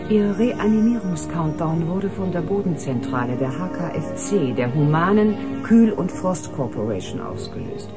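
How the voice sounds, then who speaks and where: An actress playing a professional, slightly condescending ship doctor, clinical and instructive in manner. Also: female voice, lowish voice, animated, moderate pace, few pauses, close to an everyday voice. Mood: defensive, lecturing. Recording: music playing behind the voice.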